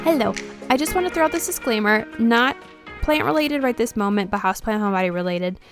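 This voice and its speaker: A woman's voice: fairly high pitch, quick pace, over loud music. A woman hosting a solo podcast, talking in a chatty, informal way with frequent fillers. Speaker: houseplant podcast host. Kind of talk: casual solo podcast talk.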